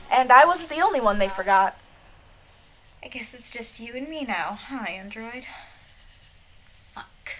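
Scrappy teen girl thief: highish voice, wry, conversational radio drama narrator over music. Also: pushed and bright, rough recording. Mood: casual, curious. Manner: wry, glib, conversational storytelling. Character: cheeky, scrappy 13-year-old girl pickpocket stowaway. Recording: music behind the voice.

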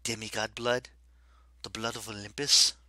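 A man's voice: on the low side, flat delivery, normal speed, pushed and bright, no echo, studio quality. Man reading a blunt, tense teenage boy.

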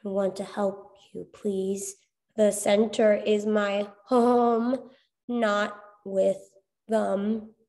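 A woman voicing a timid, glitchy female robot spider that speaks haltingly, word by word, with stuttered consonants. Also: medium pitch, little pitch movement, mellow. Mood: earnest, pleading.

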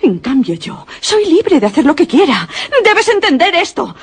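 An actress playing a jealous, spiteful young daughter in a radio suspense drama, theatrical and scornful. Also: female voice, high-pitched, very animated, moderate pace. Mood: scornful, taunting.

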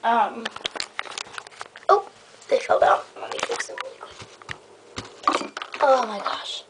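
A woman's voice: fairly high pitch, very animated, takes it slowly, background noise. A young female vlogger giving a rambling, casual show-and-tell tour of her toy horse stable, filmed on a handheld camera indoors. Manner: rambling, lots of um and so yeah. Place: indoor bedroom floor. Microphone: handheld camera mic.

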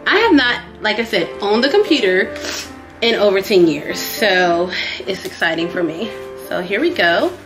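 A young woman vlogging an unboxing in a small living room, chatty and excitable, a little sniffly from a cold. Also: medium pitch, normal speed, over music, poor audio. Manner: chatty and excitable, addresses viewers as y'all. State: sniffly, getting over a cold. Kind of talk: casual unboxing vlog.

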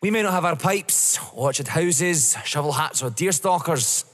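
An actor playing a methodical, smugly polite police inspector in an audio drama, formal and insinuating. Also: male voice, on the high side, unhurried, a bit bright. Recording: long hall-like reverb.

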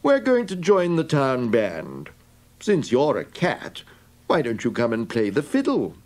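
A man's voice: mid-range voice, huge pitch swings, measured pace, darkish tone. A man voicing a friendly male donkey who coaxes the others along. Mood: coaxing. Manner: friendly, encouraging and coaxing. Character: kindly male donkey, leader of the group.

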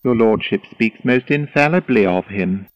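An actor playing a foppish, flattering courtier in a Shakespearean audio drama, with a mannered, flowery, deferential delivery. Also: male voice, on the low side, normal speed, dry recording, muffled recording, close to an everyday voice. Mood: affected, eager. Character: foppish, flattering young male courtier, wordy and eager.

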